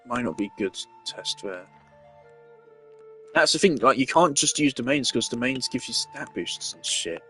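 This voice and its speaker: A man chatting through a gameplay session in a relaxed, unscripted way, pausing with fillers as he works things out and swearing now and then. His voice sits at a middle pitch, with faint music playing in the background. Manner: thinks aloud with fillers, swears casually. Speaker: gamer testing team setups. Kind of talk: casual gameplay commentary.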